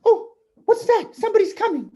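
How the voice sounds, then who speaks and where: A man voicing a gentle, excitable woman in a puppet show story, full of exclamations. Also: very high voice, brisk, pitched above the performer's own voice. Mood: excited, gentle.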